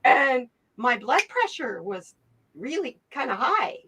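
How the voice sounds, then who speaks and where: Bright-voiced, animated woman guest. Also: high voice, slow, breathy edge. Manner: animated storyteller, laughs often. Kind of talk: casual video interview.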